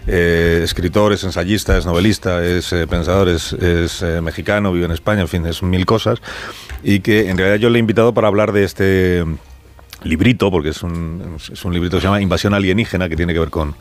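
A man with an accent from Spain hosting a live radio panel, conversational, often pausing to rephrase as he presents. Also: sits low, fairly even intonation. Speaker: radio talk show host. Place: radio studio.

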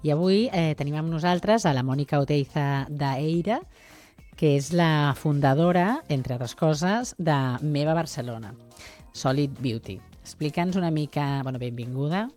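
A woman radio host, interviewing in a chatty way with halting restarts. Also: deep voice, warm tone, soft background music.

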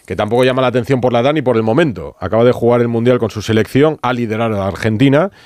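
A Spanish-accented man presents a late-night radio sports program in a dry, close studio sound. His pitch sits in the ordinary middle range for a man, and he chats easily, slipping in quick asides. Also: darkish tone, dry recording. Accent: Spain Spanish. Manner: conversational, reads aloud with asides and small laughs. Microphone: studio microphone.

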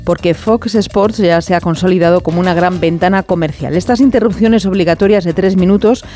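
A man presenting a news bulletin as anchor, reading a script in a measured way. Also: sits high, mellow, faint music behind.

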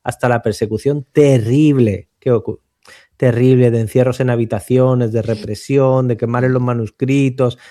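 A man talks through a novel and its film in a relaxed, meandering chat. He stops and restarts often, then lifts his voice to stress a point. His pitch sits mid-range for a man. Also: normal speed. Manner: discursive, many restarts and "eh", rising emphasis. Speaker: host, literature commentator. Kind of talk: casual radio chat about books and film.

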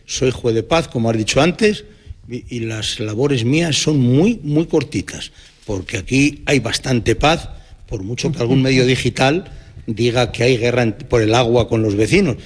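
An older man with a medium-pitched voice and a Spanish accent from Spain. He is a retired justice of the peace giving casual answers in an interview. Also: normal speed, husky. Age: 60s or older.

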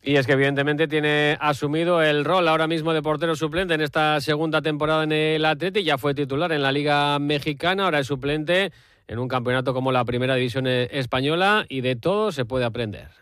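A male sports reporter delivers news links in a fluent, steady manner. His voice is fairly high and smooth, recorded close and dry with no room sound. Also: brisk, no echo. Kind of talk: news commentary.

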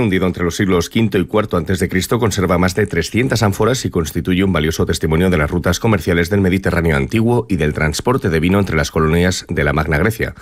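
A man's voice: sits low, dry recording, studio quality. A man reading scripted radio narration as an announcer. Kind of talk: scripted sponsored news narration.

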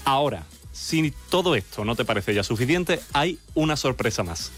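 A male radio co-host with a Castilian accent tells things in a conversational style. His pitch is medium, and soft music sits underneath. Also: animated, normal speed. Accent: Castilian Spanish.

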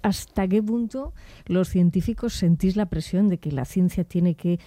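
Spanish lady interviewer, really soft dark voice, dry sound, asks questions in a measured way. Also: fairly low pitch, no room sound.

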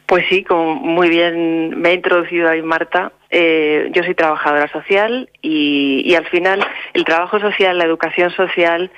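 A woman's voice: fairly low pitch, steady tone, moderate pace, fairly bright tone, no echo, muffled recording. A woman from Salamanca, a social worker, answering interview questions hesitantly with restarts and tag questions. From Salamanca, Spain. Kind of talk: radio interview.

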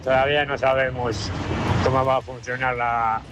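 A man's voice: mid-range voice, level tone, moderate pace, noisy background. A man leaving an informal opinion message as a radio listener. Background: music.

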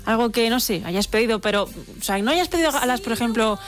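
A woman's voice: on the high side, animated, fast talker, music in the background. A woman co-hosting a radio show, chatting casually and playfully. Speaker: radio co-host.

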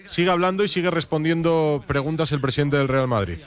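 A man with a Castilian Spanish accent giving a live sports news report in a measured, formal, explanatory way. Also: a little high.

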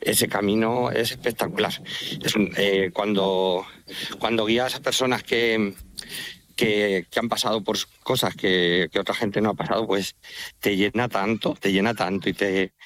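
A man with a Manchegan Spanish accent chatting as an interview guest, enthusiastic, halting and often repeating himself. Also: fairly low pitch, fairly even intonation, fairly bright tone, slight background noise. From Castilla-La Mancha, Spain. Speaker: interview guest, walking pilgrim guide. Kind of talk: casual interview talk. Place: outdoors, walking along a trail by an estuary.

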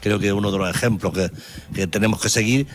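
A man's voice: lowish voice, fast talker. A man, a town mayor answering in an earnest, speech-like way, stacking phrases in lists.

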